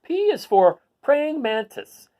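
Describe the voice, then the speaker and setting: A man's voice: extremely high pitch, big pitch swings, takes it slowly, warm tone. A man reading a children's picture book aloud, adding casual asides and putting questions to his listeners. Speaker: read-aloud host.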